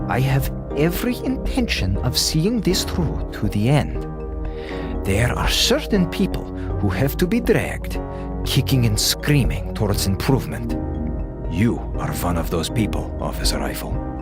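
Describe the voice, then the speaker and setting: Radio drama villain: a condescending doctor, formal and coldly patient, with extremely wide pitch swings. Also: male voice. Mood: cold menace. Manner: formal, deliberate, condescending, clipped grammar. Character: menacing, coldly patient male station doctor.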